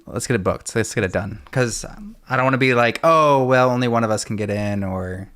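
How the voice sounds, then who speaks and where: Brief: male co-host, average pitch, steady and brief. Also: brisk, clear, resonant tone, no echo. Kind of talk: casual chat.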